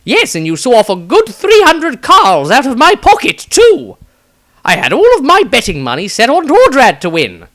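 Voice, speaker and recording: A man voicing an excitable, teasing wizard with an animated, mocking manner in a fantasy story. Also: very high-pitched, normal speed, voice pushed up, exaggerated voice. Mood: indignant. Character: excitable, theatrical male wizard, teasing and sulky.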